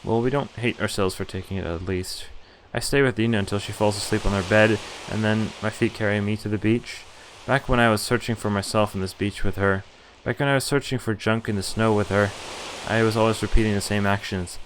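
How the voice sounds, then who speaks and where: A man's voice: on the low side, fairly even intonation, brisk, hardly any pauses, a little hiss or hum, low-quality recording. A man streaming a visual novel, reading the story aloud in first person with casual asides. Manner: reads story text aloud with casual commentary. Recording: game music behind the voice. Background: game music.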